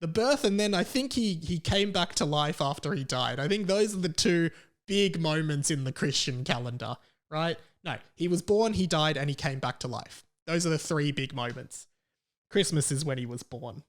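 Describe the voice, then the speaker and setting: A man's voice: on the high side, animated, moderate pace. Man telling a comic story, podcast style. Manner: casual swearing. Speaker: podcast host. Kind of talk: casual comedic storytelling.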